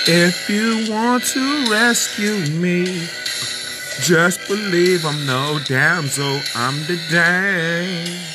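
Male freestyler, raspy and scratchy, high-ish voice, slow stretched-out crooning, loud beat underneath. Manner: crooning, drawn-out sung lines with loose ad-libs. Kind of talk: freestyle singing and rapping over a beat. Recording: loud backing music under the voice.

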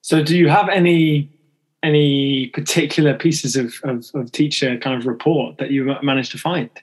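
A man's voice: fairly high pitch, steady tone, normal speed, slightly rough. A man hosting a podcast interview, asking questions that loop back on themselves. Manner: rambling, restarts his questions. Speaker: podcast host.

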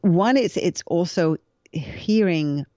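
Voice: mid-range voice, animated, slow, warm tone, dry recording, lo-fi sound. A guest explaining ideas through examples, with frequent hesitations and restarts. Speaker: interview guest. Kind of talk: explanatory conversational talk.

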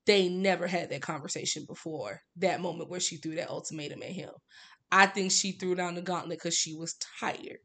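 A woman's voice: mid-range voice, a bit bright. Candid, emphatic woman podcast host. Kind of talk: casual book discussion.

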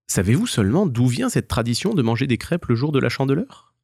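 Man as a kindly, lively old grandmother. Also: medium pitch, a little fast, no echo, studio quality, pitched above the performer's own voice. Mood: warm, teasing. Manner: gentle, teasing. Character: kindly, mischievous old grandmother telling a legend. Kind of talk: children's storybook dialogue.

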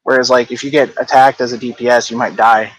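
A man in his thirties, a podcast guest, talking in a reflective, easygoing way and hedging often. His voice is mid-pitched and ordinary, with a relaxed conversational flow. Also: quick pace, no echo, poor audio. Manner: reflective, hedging with "like" and "I don't know". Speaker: guest, game company co-founder. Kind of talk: casual interview chat.

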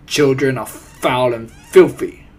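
A man's voice: mid-range voice, unhurried, breathy edge. A man voicing a tyrannical, ranting female head witch in an audiobook, imperious and thundering, with broken foreign-sounding grammar. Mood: disgusted, screaming. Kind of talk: audiobook character voice.

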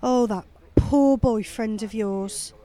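A woman's voice: a little high, slow pace, warm tone. A woman playing a nagging, exasperated middle-aged mother in a fan-made audio drama. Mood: shocked. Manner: scolding, colloquial.

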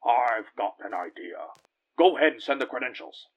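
A man's voice: mid-range voice, lively intonation, moderate pace, lo-fi sound, close to an everyday voice. A man playing a bold, gallant space captain and vigilante hero in an audio drama, speaking in decisive, heroic commands. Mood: urgent, planning.

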